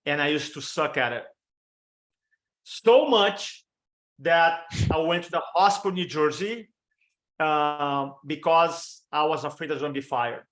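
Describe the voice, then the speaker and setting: A fairly high-voiced man in his fifties with a Brazilian Portuguese accent, coaching an audience on a webinar. He talks with energy and rattles off lists of examples. The sound comes through a video call. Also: unhurried, fairly bright tone, poor audio. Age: 50s. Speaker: motivational speaker and storytelling coach. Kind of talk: training talk on a webinar.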